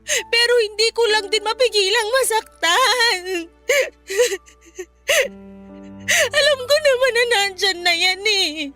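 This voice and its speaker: A distraught young Filipino wife in a radio drama. Her voice is very high and sharp, tearful and reproachful as she pleads with her mother, with light music underneath. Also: a little slow, full character voice. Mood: tearful, afraid. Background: background music.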